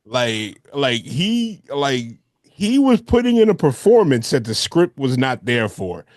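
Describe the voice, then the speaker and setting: Animated man chatting about films. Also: mid-range voice, slow pace, no room sound, clean studio sound. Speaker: podcast co-host. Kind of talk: casual film discussion with friends.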